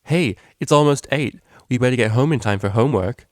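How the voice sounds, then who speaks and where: A man's voice: mid-range voice, brisk, no room sound, studio quality, voice pushed up, natural voice. A man voicing a brash young female unicorn DJ in a slangy, offhand storybook reading. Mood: playful, cocky.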